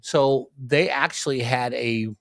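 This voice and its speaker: A man's voice: mid-range voice, takes it slowly, a bit bright, dry recording, clean studio sound. Sixty-something man telling old stories. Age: 60s. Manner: rambling, repeats words when starting. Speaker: guest. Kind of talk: casual chat, storytelling.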